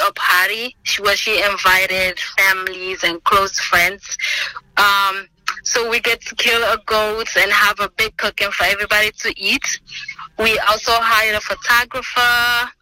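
A woman's voice: mid-range voice, pushed and bright, no room sound, low-quality recording. Woman, twenties, earnest, thoughtful, searching for words, very dry sound. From Senegal. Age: 20s. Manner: earnest, thoughtful, with frequent ums and restarts. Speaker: interviewee, student. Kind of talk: oral history interview. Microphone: remote video call.

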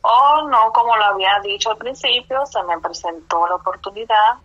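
A woman from the Republic, answering oral history questions slowly, with hesitant fillers. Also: medium pitch, pushed and bright. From Dominican Republic. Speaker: interviewee. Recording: muffled, dull lo-fi audio.

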